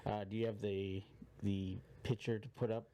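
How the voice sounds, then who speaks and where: A man's voice: lowish voice, little pitch movement, moderate pace, dark tone, a little hiss or hum. A man co-hosting a casual podcast, chatting in an easygoing way and plugging sponsor codes. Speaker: podcast co-host. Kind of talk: casual live podcast chat. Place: cabin.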